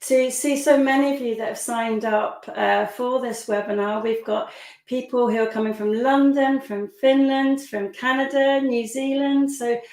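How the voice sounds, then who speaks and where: Croaky woman hosting a webinar. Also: a little high, steady tone, moderate pace, echoey room. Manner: chatty, warm welcomes with frequent fillers. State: hoarse, croaky. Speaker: webinar host.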